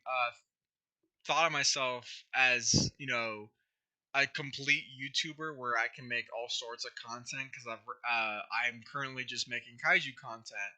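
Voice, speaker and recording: Young male streamer, hoarse, very bright tone, casual rambling chat full of fillers. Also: mid-range voice. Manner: rambling, many fillers and restarts. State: hoarse, scratchy throat. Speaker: YouTube creator hosting a livestream. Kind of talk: casual livestream chat answering viewers.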